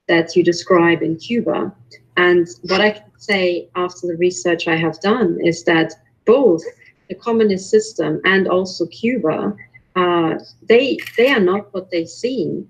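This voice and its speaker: A woman from Romania giving personal testimony as a guest in an online panel, earnest and halting, with restarts. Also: fairly low pitch, slightly rough, rough recording. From born in Romania, later moved to Germany. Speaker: invited panel guest, researcher. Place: remote online call.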